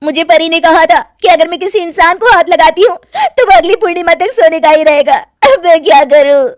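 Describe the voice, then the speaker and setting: A woman's voice: very high-pitched, normal speed, no echo, clean studio sound, voice pushed up. A woman voicing a kind, innocent young village woman, earnest and concerned, in a cartoon story. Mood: worried.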